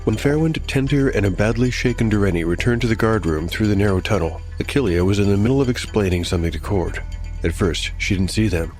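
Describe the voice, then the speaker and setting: A man narrating a dark fantasy tale in a scripted, dramatic style as game master. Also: fairly low pitch, fast talker, faint music behind.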